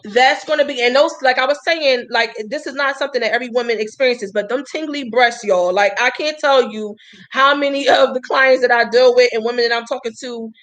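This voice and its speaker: Host, woman, 30s: chatty and folksy, higher-pitched voice, talks straight to her viewers. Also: brisk, fairly bright tone. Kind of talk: casual live-stream talk.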